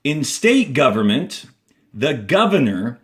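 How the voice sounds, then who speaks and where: A male elementary school teacher with an American accent reads a textbook aloud in a clear, deliberate instructional way, adding brief asides. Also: medium pitch, sing-song.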